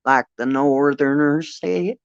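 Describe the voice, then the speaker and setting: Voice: mid-range voice, measured pace, creaky, clear, resonant tone, no room sound, muffled recording. An older host with a Northeastern Ohio accent, chatting casually and expressively, laughing often and trailing off with fillers. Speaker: channel host. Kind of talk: casual livestream chat.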